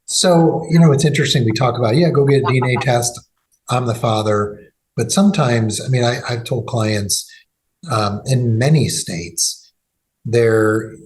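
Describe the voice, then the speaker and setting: A man's voice: medium pitch, rough recording. A man hosting a legal podcast, talking in a loose conversational way with fillers and restarts. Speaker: podcast host and law firm executive.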